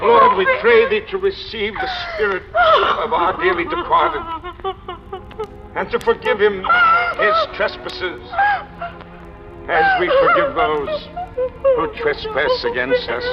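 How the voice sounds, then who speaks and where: A man's voice: extremely high pitch, wildly swooping pitch, slow, gravelly, long pauses, full character voice. An actor playing a solemn clergyman praying mournfully over the dead in a 1940s-style radio drama. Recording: muffled old radio, loud music.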